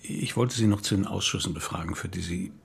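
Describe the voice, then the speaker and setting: An actor in a radio play playing a calm, courteous middle-aged police commissioner who speaks in a measured, probing way. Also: male voice, sits low, full character voice. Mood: probing, polite. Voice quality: gravelly, husky.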